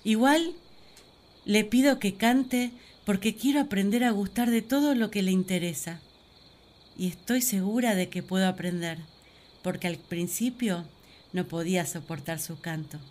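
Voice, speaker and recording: A woman plays the first woman in a radio play, devoted and thoughtful. Her voice sits at a medium pitch. She reflects on her feelings gently and earnestly, as in a spoken diary. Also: unhurried, natural voice. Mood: tender, reasoning. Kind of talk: radio drama diary monologue.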